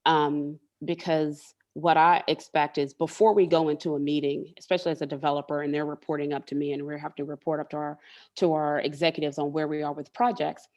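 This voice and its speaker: Low-voiced woman explaining earnestly. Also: level tone, brisk, darkish tone, lo-fi sound. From Southern United States. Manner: earnest, explanatory, repeats words while thinking. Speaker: interview guest, tech leader and coach. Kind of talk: candid interview conversation.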